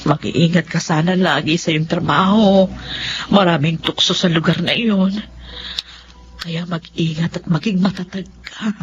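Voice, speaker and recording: A woman's voice: on the low side, fairly even intonation, a little slow, lightly acted. Radio drama role: fussing, tender Filipino mother, middle-aged actress, muffled recording with music behind. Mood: sad, worried. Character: caring, tearful, worrying mother of a grown son.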